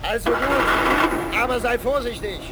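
An actor playing a blunt, excitable and loyal officer sidekick in a 1980s science-fiction radio play. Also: male voice, extremely high pitch, measured pace. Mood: defiant, worried. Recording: loud background noise.

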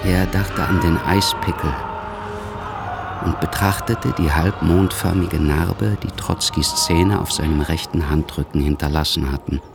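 A man's voice: sits low, steady tone, measured pace, creaky, loud music behind the voice. A man narrating a radio drama in a scripted literary style.

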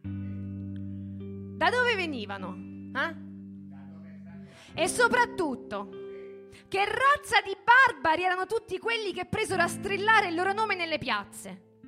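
A woman giving a theatrical, declamatory reading in deliberate phrases, like a stage performer telling a story. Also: very high voice. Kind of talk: theatrical dramatic reading over music. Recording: live radio reading with music underneath.

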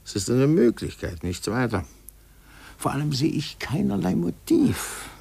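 An actor playing a methodical, cautious investigator in a 1950s German radio drama, with a gravelly, deliberate delivery. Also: male voice, a little high, wildly swooping pitch, a little mellow, heavily acted. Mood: measured, reasoning. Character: methodical, sober, cautious male investigator weighing the evidence.

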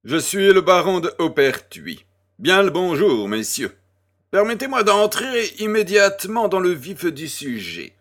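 An actor playing an urbane, grandiose baron, florid, courteous and self-assured, in a French audio drama. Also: male voice, high-pitched, big pitch swings, a little slow, a bit bright, exaggerated voice. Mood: grand, enthusiastic.

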